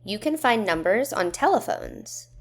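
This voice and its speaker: A woman reads a simple children's book aloud as an educator would. Her mid-range voice moves up and down in a very animated way, with measured, instructional phrasing aimed at young listeners. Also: mid-range voice. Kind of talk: children's read-aloud narration.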